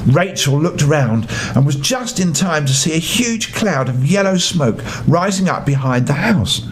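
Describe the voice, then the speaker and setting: An older man narrating a children's bedtime story as an expressive storybook reader. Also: on the high side, a little hiss or hum.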